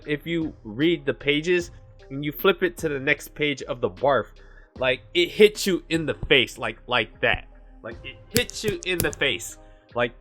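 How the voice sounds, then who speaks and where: A man talks through anime opinions in a loose, casual ramble, filling his sentences with "like" and "you know". His voice sits a bit higher than most men's, and he describes it as monotone. Soft music plays faintly underneath. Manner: chatty, leans on "like" and "you know"; calls his own voice monotone. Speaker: anime commentary host.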